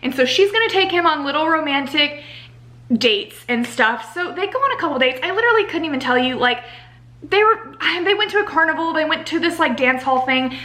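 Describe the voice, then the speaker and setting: A young American woman giving a casual, chatty book review in an animated, exasperated ranting manner, saying "like" a lot. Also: high voice, a little fast. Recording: roomy home echo.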